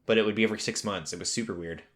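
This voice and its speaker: A man's voice: lowish voice, fairly even intonation, rapid-fire. A man hosting a casual pop culture podcast, talking in a rambling way that drifts into tangents. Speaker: podcast host.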